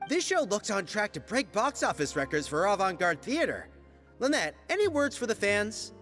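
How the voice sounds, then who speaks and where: An actor playing an eager, pressing entertainment reporter in a video game, with a polished delivery and a long reverberant tail. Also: male voice, high-pitched, big pitch swings, moderate pace, fairly bright tone, faint music behind, heavily acted.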